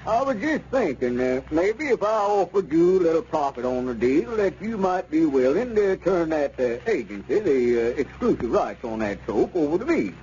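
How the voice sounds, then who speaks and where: Swooping, wheedling small-town squire. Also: male voice, a little high, big pitch swings, moderate pace, few pauses, darkish tone. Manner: hesitant, roundabout, unctuous. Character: wheedling small-town squire, sly real-estate dealer. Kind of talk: 1930s radio comedy acting. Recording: dull, noisy old radio recording.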